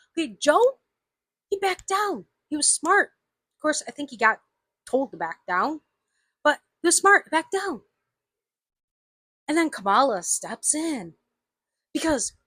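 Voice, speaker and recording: Indignant woman with a bright, edgy voice. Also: high-pitched, no echo. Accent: slight Southern US. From United States. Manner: indignant, emphatic, repeats herself. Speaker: vlogger. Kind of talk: angry opinion rant to camera.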